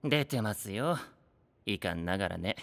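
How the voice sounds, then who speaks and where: A man's voice: on the low side, animated, measured pace, creaky, fairly bright tone, full character voice. A male actor playing a sly, pleasure-seeking young trickster in an anime-style audio drama, teasing in polite, theatrical speech. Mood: detached.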